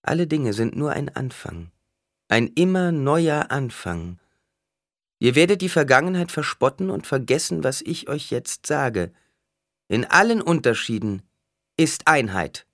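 Stern prophetess for an audiobook, acted by a man: raised pitch, solemn intoning, cutting edge, swooping intonation. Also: very animated, unhurried, a bit bright, no room sound, clean studio sound.

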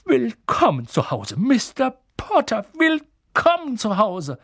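A man's voice: high voice, very animated, takes it slowly, vocal fry, breathy edge, no room sound, studio quality, voice pushed up, exaggerated voice. A man voicing an emotional, awestruck old innkeeper, reverent and exclaiming, in an audiobook. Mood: awed, moved. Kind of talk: audiobook character voice.

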